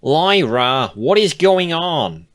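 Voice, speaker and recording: A man voicing an excitable, teasing female pony in a squealing, singsong, exclamatory manner. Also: a little high, takes it slowly, voice pushed up. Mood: nervous.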